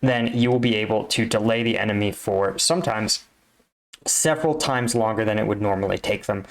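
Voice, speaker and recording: A man's voice: on the low side, fairly quick, gravelly. A man giving casual reaction commentary, explaining military points step by step and checking in with the listener. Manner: explanatory, often checks in with "right?". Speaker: host, army combat veteran reacting.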